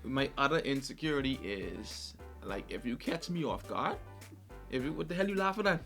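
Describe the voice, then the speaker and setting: A man with a light, high voice, hosting a podcast in casual, animated chat, who laughs often and sometimes stammers. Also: normal speed, creaky, faint music behind. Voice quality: light, high voice, not deep. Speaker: podcast host.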